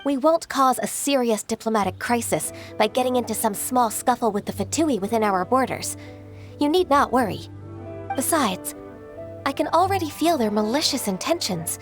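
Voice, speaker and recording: Actress for poised young game official, female. High voice, measured formal delivery, politely stern. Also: animated, faint music behind, close to an everyday voice. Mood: resolute. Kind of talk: video game voice acting.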